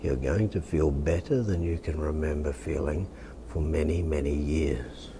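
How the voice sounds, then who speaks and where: Very deep gravelly Australian man. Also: noisy background. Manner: measured, soothing, suggestive. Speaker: hypnotist. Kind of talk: hypnosis session, softly spoken.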